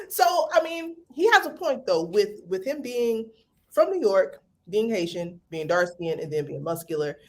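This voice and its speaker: A woman's voice: medium pitch, unhurried. Woman podcast cohost, teasing and blunt, voice bouncing all over. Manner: teasing, blunt, playful, lively. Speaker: podcast co-host. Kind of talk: casual chat.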